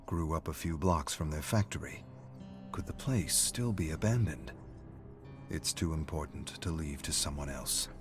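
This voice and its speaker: A man reading scripted dramatic story narration in a measured, brooding manner. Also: a bass voice, animated. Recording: music under the narration. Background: music.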